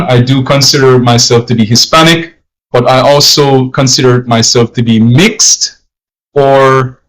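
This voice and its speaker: A man's voice: medium pitch, fairly bright tone, poor audio. A Jamaican-born man hosting a language-learning livestream, talking in a loose, rambling way with fillers and repeats, in an accent he says is not his natural one. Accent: put-on non-Jamaican accent, not his natural one. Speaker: language teacher, livestream host. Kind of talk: casual livestream talk.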